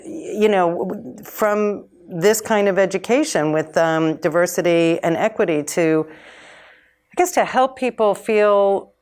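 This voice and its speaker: A woman's voice: mid-range voice, slow pace, darkish tone, smooth. A woman working in a school district, talking in a thoughtful, conversational way and building her points with "I mean" and "you know". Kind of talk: casual conversation.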